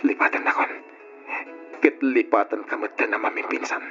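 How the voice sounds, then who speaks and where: A man playing an anguished, betrayed young man in an old-style Ilocano radio drama, with an Ilocano accent and emotional, reproachful, drawn-out delivery. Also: fairly low pitch, sharp tone, soft background music. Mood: bitter, resigned. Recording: dull, muffled radio drama recording.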